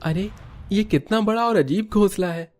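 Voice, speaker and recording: A man's voice: sits high, moderate pace, a little mellow, pitched above the performer's own voice, lightly acted. A man voicing an astonished, curious young village visitor in a Hindi cartoon story, exclaiming and questioning in wonder. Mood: amazed, puzzled. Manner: exclamatory, wide-eyed questioning.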